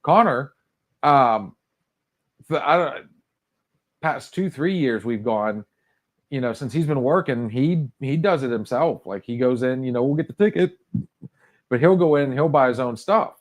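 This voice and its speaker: A man's voice: mid-range voice, expressive, measured pace, pauses often. A man in his forties co-hosting a podcast, chatting casually and rambling with frequent restarts. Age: 40s. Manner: rambling, with many restarts and fillers. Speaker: podcast co-host. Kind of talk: casual chat.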